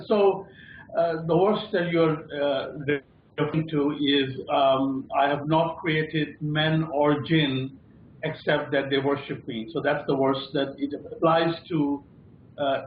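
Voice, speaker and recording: A panellist on a call-in discussion show, a man speaking slowly and haltingly with many fillers. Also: mid-range voice, steady tone, slight background noise. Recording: tinny echoey phone-like line.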